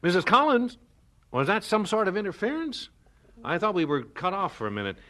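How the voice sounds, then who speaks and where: A man's voice: high voice, wildly swooping pitch, a little fast, pushed and bright, exaggerated voice. An American man playing a courteous, reassuring, slightly flustered small-town doctor in a radio drama. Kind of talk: 1970s radio drama acting.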